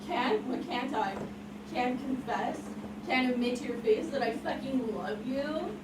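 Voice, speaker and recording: A woman's voice: high-pitched, unhurried. A woman playing a needy, indignant, drunk young man in love, at a script table read. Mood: indignant, hurt. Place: large echoey room with a fan running. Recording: distant echoey room, loud fan noise.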